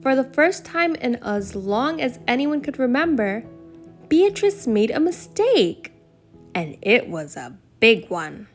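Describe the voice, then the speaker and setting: A woman reading a children's bedtime story aloud in an expressive, deliberate storytelling manner. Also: fairly high pitch, soft background music. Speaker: storybook reader.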